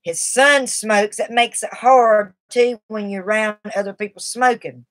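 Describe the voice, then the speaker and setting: A middle-aged woman chatting on her live stream in a rambling, confiding way. Her voice sits at an ordinary pitch for a woman, and she sounds a little shaky as she fights off cigarette withdrawal. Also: steady tone, unhurried. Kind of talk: casual live stream talk.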